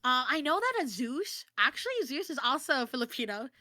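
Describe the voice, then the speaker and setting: A woman's voice: sits high, sing-song, a little fast, pushed and bright, no room sound, studio quality. An American woman chatting casually and expressively as a guest on an interview stream. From United States. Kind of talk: casual chat.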